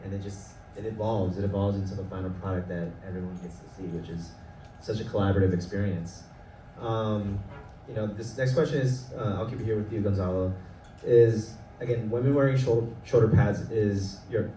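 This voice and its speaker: A man's voice: on the low side, fairly even intonation, normal speed, dark tone. A man moderating a festival panel, asking questions in a casual way with lots of fillers. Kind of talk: panel discussion. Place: event panel hall. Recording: roomy sound from a distant mic, faint noise.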